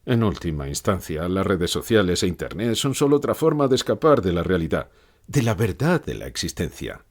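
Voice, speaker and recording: A man's voice: low-pitched, very animated, brisk, heavily acted. A man playing a gloomy old philosopher who gives grave, pessimistic answers in a scripted interview.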